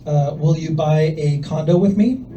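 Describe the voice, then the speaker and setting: A young man from El Salvador doing a stand-up set in a comedy club, with a soft voice and a hesitant, deadpan delivery full of fillers. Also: on the high side, moderate pace, slight background noise. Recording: voice from far off the mic.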